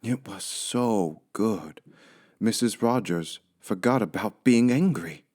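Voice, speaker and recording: A man's voice: fairly low pitch, expressive, takes it slowly, a little mellow. A man narrating a children's audiobook as a scripted storyteller.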